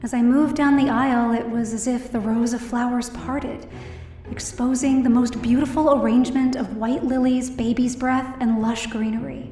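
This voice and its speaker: A woman's voice: fairly high pitch, fairly even intonation, moderate pace, mellow, natural voice. An actress playing an anxious young woman who narrates her own story in an audio drama, confiding and self-deprecating. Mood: wistful, hopeful. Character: anxious, downcast young woman, daughter of a hospitalized mother. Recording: roomy, distant-sounding voice over music.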